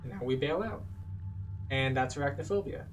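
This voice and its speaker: Man calmly guiding through a game, slightly distant. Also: medium pitch, moderate pace, slight background noise. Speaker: video game tour guide, player narrating a playthrough. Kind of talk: casual gameplay commentary. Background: game music.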